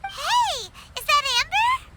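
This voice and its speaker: Tiny, very high-pitched fairy sidekick. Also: female voice, very animated, takes it slowly, heavy background noise, exaggerated voice. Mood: sympathetic. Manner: refers to self in third person, exclamatory. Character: small childlike floating fairy companion, excitable, easily frightened. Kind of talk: video game cutscene acting.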